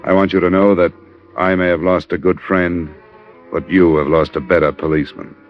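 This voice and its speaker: An American actor playing a terse, deadpan hard-boiled investigator in an old radio crime drama. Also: male voice, low voice, normal speed, natural voice. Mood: grim, tense. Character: hard-boiled male insurance investigator narrating his case. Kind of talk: 1940s-50s radio crime drama narration. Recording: dull old radio recording, music behind.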